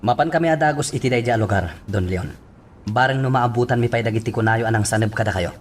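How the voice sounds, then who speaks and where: An actor playing a dutiful, authoritative police chief in an Ilocano radio drama. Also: male voice, mid-range voice, quick pace, slight background noise, close to an everyday voice. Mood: brisk, commanding.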